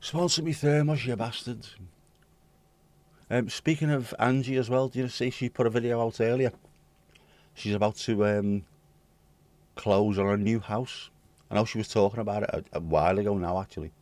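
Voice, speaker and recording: A hoarse man with a British accent, chatting casually with viewers on his livestream and swearing bluntly. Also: lowish voice, fairly even intonation, moderate pace, pauses often, darkish tone, no room sound. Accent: British English. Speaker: livestream host. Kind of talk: casual chat reading viewer comments.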